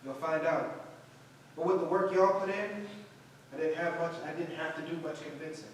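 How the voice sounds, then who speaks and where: A man's voice: on the high side, normal speed, close to an everyday voice. A man in a staged play reading playing an earnest theatre director who rallies and reassures his cast. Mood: gentle, reassuring. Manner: rousing, reassuring. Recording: distant, very echoey room, faint noise.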